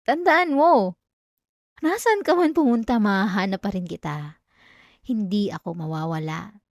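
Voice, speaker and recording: A woman's voice: medium pitch, darkish tone, dry recording. A young woman with a Filipino accent voicing an obsessive, possessive female classmate in an intimate, dramatic ASMR roleplay, addressing the listener up close. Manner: intimate, pleading turning coldly threatening, dramatic. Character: obsessive yandere female classmate, clingy, wounded, menacingly possessive. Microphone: close microphone, dry sound.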